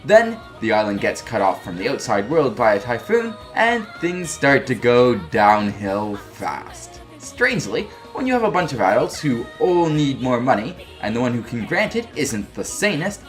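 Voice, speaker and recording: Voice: medium pitch, very animated, moderate pace, faint music behind. A reviewer narrating a scripted review in an informal, wry and emphatic way. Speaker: video game reviewer.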